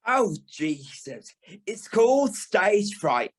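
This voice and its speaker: Man playing a high-voiced, drawling, flamboyant British dame. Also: very animated, slow, heavily acted. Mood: frank, reassuring. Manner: theatrical, tactless, drawling, calls people darling and lovey. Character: flamboyant, blunt older former dancer and ballet teacher, a dame. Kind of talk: audio play acting.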